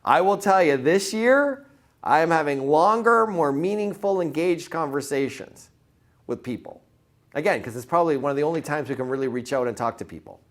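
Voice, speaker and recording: A man's voice: a little high, expressive, normal speed. A man giving an informal motivational sales presentation, repeating words for emphasis and putting questions to his listeners. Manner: informal, punchy, asks the audience questions. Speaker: sales trainer presenting to real estate agents.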